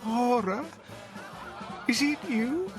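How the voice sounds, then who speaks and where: An actor in a radio drama playing a bewildered older husband who pleads with desperate earnestness, with music behind him. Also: male voice, very high voice, expressive, extremely slow, heavily acted. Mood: astonished. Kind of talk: audio drama acting.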